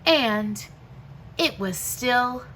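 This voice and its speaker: Female storybook reader for young kids: high voice, very unhurried pace, expressive and full of dramatic pauses. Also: slight background noise, rough recording. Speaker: teacher reading aloud, show host. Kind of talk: slow storybook read-aloud for young children.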